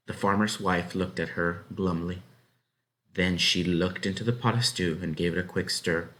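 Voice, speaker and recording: A man reading a children's story aloud as an expressive narrator. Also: sits low, moderate pace.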